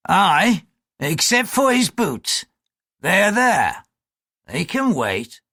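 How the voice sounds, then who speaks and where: A man's voice: high voice, huge pitch swings, extremely slow, creaky, sharp tone, dry recording, clean studio sound, voice pushed up, full character voice. A man doing a coarse leering sailor bosun. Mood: gruff. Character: crude lecherous male Portuguese ship's bosun.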